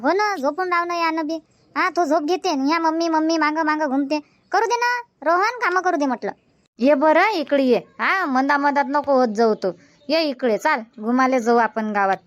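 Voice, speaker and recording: A woman's voice: high voice, normal speed, no room sound, natural voice. A woman voicing a homely older village mother-in-law in a cartoon comedy, chatty and instructing, with a Varhadi Marathi accent. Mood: coaxing. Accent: Varhadi Marathi from Vidarbha. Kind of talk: amateur cartoon comedy dubbing in village dialect.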